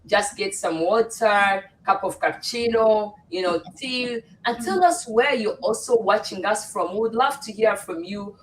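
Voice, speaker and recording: A woman's voice: medium pitch, lively intonation, a little slow, hardly any pauses, slight background noise. A middle-aged woman hosting a casual livestream panel, welcoming and with frequent fillers. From Uganda. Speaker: host. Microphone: video call. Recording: distant from the mic.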